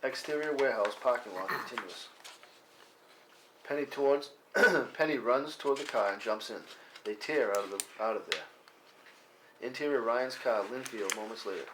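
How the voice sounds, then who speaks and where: A man narrates screenplay directions at a live table read. His pitch is average, and the recording has faint noise with clicks. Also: moderate pace. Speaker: stage-direction reader.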